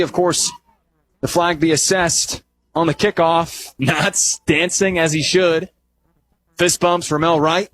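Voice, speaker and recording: A man doing live radio play-by-play of a football game, descriptive and conversational, getting animated on big plays. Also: fairly high pitch, a little hiss or hum. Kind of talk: live radio sports commentary.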